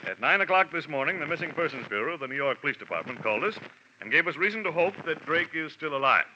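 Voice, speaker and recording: Sharp, gruff insurance boss, old radio. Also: male voice, fairly high pitch, very animated, fast talker, full character voice. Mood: businesslike. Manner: stiff, businesslike. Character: gruff, self-important male insurance executive, ex-army desk officer. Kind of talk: 1940s radio drama acting. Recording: muffled, faintly noisy old radio broadcast.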